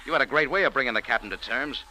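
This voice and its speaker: An actor playing a tough, sardonic American café owner in an old-time radio adventure, with clipped, commanding delivery. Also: male voice, medium pitch, rapid-fire, sharp tone. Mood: hard, accusing. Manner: hard-boiled, clipped, commanding. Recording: noisy old radio drama recording.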